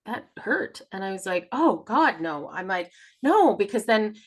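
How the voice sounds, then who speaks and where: A woman's voice: medium pitch, a little slow. A woman in casual, confessional conversation as a podcast co-host, talkative and candid, often acting out dialogue. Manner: talkative, animated retelling with acted-out dialogue; candid, swears freely.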